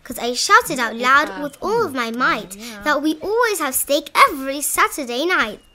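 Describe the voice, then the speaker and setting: A woman reading a children's storybook aloud in an expressive, dramatizing manner. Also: high voice, moderate pace, crowd noise. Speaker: read-aloud narrator of children's picture books.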